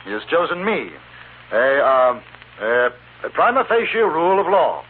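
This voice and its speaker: A man playing a courteous, wordy British gentleman barrister in a 1950s Western radio drama, speaking formally and articulately. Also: on the high side, sing-song, moderate pace, slightly rough. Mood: formal, earnest. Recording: tinny 1950s radio recording, hiss.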